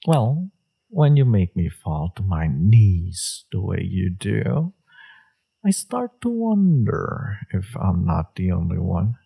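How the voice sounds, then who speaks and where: Man acting a husky, slow, seductive villain. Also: low voice, sing-song, dark tone, heavily acted. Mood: earnest, resolute. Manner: teasing, self-assured, unhurried. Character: smug, seductive male villain, teasing his enemy. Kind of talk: romantic audio roleplay, intimate monologue.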